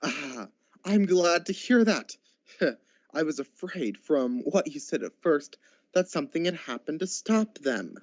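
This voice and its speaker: A man's voice: on the high side, expressive, a little slow, no echo, highs cut off. A man playing a miserly, grumpy old businessman in a radio drama, curt and scornful. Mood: sardonic.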